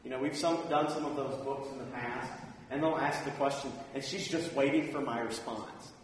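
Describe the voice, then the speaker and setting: A man's voice: medium pitch, fairly even intonation, moderate pace. A man preaching a sermon in a plain-spoken, conversational, informal way. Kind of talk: sermon with personal anecdotes. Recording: echoey hall, distant mic, faint noise.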